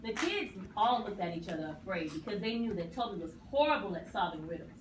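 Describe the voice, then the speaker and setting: A woman's voice: medium pitch, big pitch swings, quick pace. A woman narrating a scripted children's puppet story. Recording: roomy, distant mic, clear noise.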